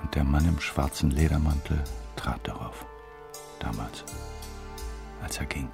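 An actor playing a brooding, vengeful man in a radio drama monologue, speaking haltingly and with menace. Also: rumbling low, over music, full character voice. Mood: bitter, brooding. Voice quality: gravelly. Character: brooding, vengeful man plotting a bombing, haunted by childhood.

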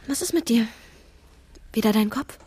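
A woman's voice: on the high side, lively intonation, normal speed, mellow, husky. An actress playing a headstrong, coaxing young woman in a crime radio drama, speaking in natural dialogue. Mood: concerned.

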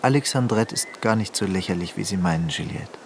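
An actor playing a worldly, reserved journalist with a measured, suave manner in a radio drama. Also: male voice, low-pitched, a little hiss or hum. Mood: reserved, confiding. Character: worldly male Greek journalist, courting, reserved.

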